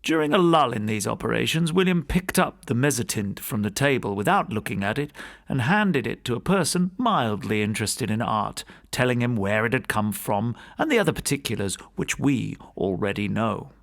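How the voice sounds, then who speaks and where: A man reads a classic ghost story as an audiobook narrator. His pitch moves in a lively way across a middle register, while the delivery stays measured and literary, like formal storytelling. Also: gravelly.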